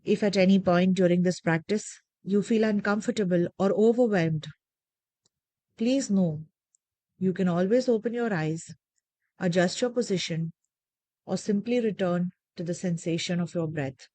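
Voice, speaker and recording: A woman leading a guided meditation with gentle, measured, soothing instructions and deliberate pauses. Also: fairly low pitch, dry recording.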